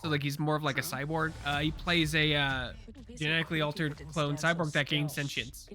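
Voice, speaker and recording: A man chatting on a live pop culture show in a rambling, eager way. His voice sits fairly high and sounds bright and forward, and he talks quickly, piling up fillers and restarts as he goes. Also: flat delivery. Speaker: co-host. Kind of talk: casual pop culture chat.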